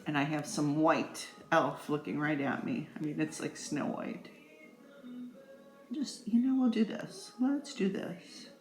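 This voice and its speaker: A woman's voice: deep voice, measured pace. Chatty middle-aged woman in an echoey room. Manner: playful, rambling, talks directly to viewers. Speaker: makeup vlogger. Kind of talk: casual chatty makeup tutorial. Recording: echoey room with faint music.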